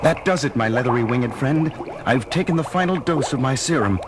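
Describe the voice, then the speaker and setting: A man's voice: mid-range voice, lively intonation, fairly quick, close to an everyday voice. Man acting intent scientist in noisy surroundings. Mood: tense anticipation. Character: intent, obsessive adult male scientist. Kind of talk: superhero audio drama acting. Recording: noisy background, loud ambience.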